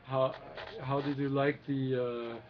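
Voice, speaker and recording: A man's voice: medium pitch, level tone, takes it slowly. A man hosting a casual livestream chat, friendly and hesitant, with lots of fillers. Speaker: host of a celebration livestream. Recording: dull lo-fi stream audio with faint music.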